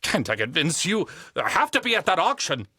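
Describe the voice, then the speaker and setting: Audio drama tycoon: arrogant, agitated, high forceful voice that stammers under pressure. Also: male voice, huge pitch swings, fairly quick, breathy edge, no echo, studio quality, heavily acted. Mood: alarmed, urgent. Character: arrogant, impatient wealthy businessman, panicking and desperate. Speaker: actor. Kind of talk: audio play acting.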